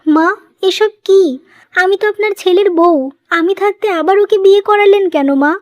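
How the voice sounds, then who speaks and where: Woman acting a high-pitched, wronged young cartoon wife. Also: normal speed, no echo. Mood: hurt, protesting. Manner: pleading, earnest. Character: pleading, wronged young wife, loving and long-suffering. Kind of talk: moral-story cartoon dubbing.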